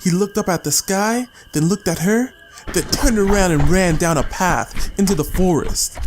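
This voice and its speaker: A man's voice: high voice, normal speed, background noise. A man reading a scripted short story as a dramatic audiobook narrator. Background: music.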